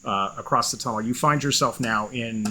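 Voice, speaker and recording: A man narrating a tabletop game as game master, halting and filled with restarts. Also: mid-range voice, fairly even intonation, pushed and bright, slight background noise.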